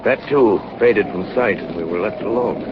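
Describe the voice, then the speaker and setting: An actor in a 1930s radio drama playing a grave naval officer who narrates in a dramatic manner. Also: male voice, on the low side, moderate pace, natural voice. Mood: somber. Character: grave naval officer recounting a polar sea disaster. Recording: dull, very noisy 1938 radio recording.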